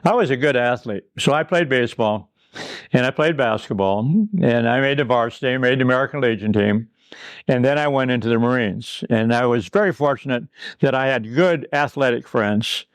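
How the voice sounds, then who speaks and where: A man's voice: medium pitch, moderate pace, clean tone, no room sound. An older man from Pine Ridge, South Dakota, reminiscing in a rambling, chuckling way as an interview guest. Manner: rambling, chuckling storyteller, dry humor. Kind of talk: reminiscing in a recorded conversation.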